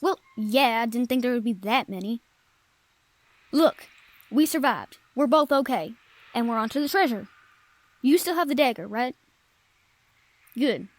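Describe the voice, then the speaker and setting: A woman's voice: a little high, animated, measured pace, long pauses, no echo. A woman playing a brash, brave, wry treasure hunter in an audio roleplay, bantering informally with the listener. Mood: wry, relieved. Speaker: voice actor.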